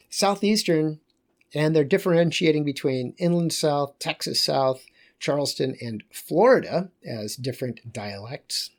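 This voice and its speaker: A man lecturing on geography in a loose, conversational way, pausing and correcting himself as he goes. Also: a little high, darkish tone.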